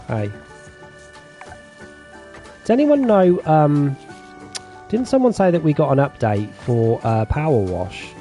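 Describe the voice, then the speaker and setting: A man streaming a farming game, chatting casually with his viewers and reading their messages aloud. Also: mid-range voice. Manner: relaxed, chatty, reads chat aloud. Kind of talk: casual chat with viewers while gaming.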